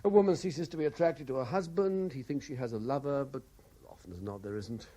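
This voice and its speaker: An actor playing a troubled, guilt-ridden investigator in a 1970s radio drama, with a brooding, hesitant manner. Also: male voice, medium pitch, fairly quick, dark tone, lightly acted. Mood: guarded. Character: troubled, haunted male French private investigator, guilt-ridden and weary. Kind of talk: 1970s radio drama acting, with narrated diary passages.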